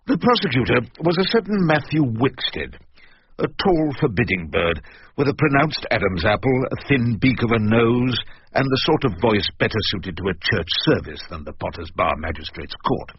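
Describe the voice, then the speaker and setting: An actor playing a wry older barrister who narrates his own memoir in a measured, literate radio drama style. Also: medium pitch, sing-song, breathy edge, no echo. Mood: wry, reflective. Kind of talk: scripted first-person radio drama narration. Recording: dull lo-fi radio recording.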